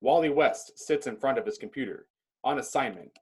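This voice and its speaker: A man with a husky voice narrating a script in a clipped, descriptive reading style. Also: mid-range voice, animated, brisk, a little mellow.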